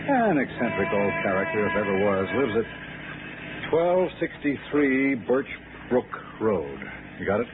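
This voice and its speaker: A man's voice: lowish voice, sing-song, a little slow. An actor playing a harried, exasperated American insurance company man in a 1960s radio drama, impatient and grumbling. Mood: exasperated, wry. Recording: noisy, tinny old radio broadcast.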